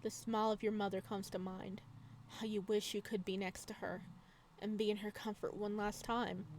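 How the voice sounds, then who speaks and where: A woman doing a video game let's play, reading game text aloud with expressive drama and adding casual asides. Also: mid-range voice, a little hiss or hum. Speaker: gaming video creator.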